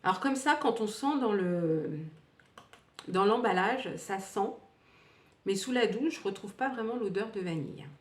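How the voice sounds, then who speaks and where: A woman's voice: mid-range voice, expressive, unhurried. A woman in her fifties reviewing used-up beauty products in a casual, rambling way, with many fillers and restarts. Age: 50s. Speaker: beauty vlogger. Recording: echoey room, distant from the mic.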